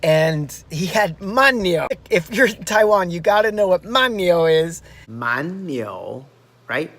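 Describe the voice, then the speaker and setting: A man talking straight to his handheld camera, recapping a race in a loose, rambling way. His voice sits a little high, and he keeps restarting sentences and tossing in fillers as he goes. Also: lively intonation, slow, a bit bright, dry recording. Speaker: runner vlogging a marathon. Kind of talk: casual vlog recap. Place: outdoors and in a car.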